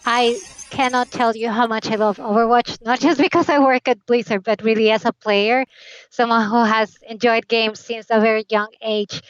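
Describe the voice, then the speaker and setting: A woman's voice: fairly high pitch, steady tone, normal speed, smooth, no room sound, poor audio. A woman from Colombia whose first language is Spanish, explaining her work with enthusiasm as a guest. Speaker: localization guest. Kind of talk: casual interview talk.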